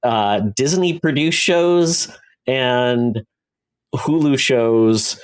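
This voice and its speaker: A man's voice: mid-range voice, drawn-out words, clear, resonant tone. A man hosting a podcast, explaining news in a rambling, conversational way. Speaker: podcast host presenting entertainment news. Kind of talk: casual news chat.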